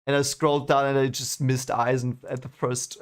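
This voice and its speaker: A man's voice: medium pitch, little pitch movement, moderate pace. A man hosting a livestream, commenting casually on an old video in a repetitive, easily amused way. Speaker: livestream host.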